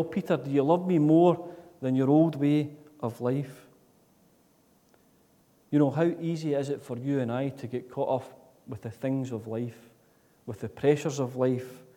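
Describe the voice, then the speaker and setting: A man preaching a sermon in a church, earnest, with repeated rhetorical questions that swing between loud and soft. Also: mid-range voice. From Scotland. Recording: long reverb of a church hall.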